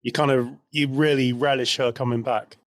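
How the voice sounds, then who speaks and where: A man's voice: mid-range voice, steady tone, moderate pace, no room sound, clean studio sound. A man co-hosting a podcast in a casual, chatty, agreeable way, readily amused. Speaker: podcast co-host. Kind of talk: casual chat.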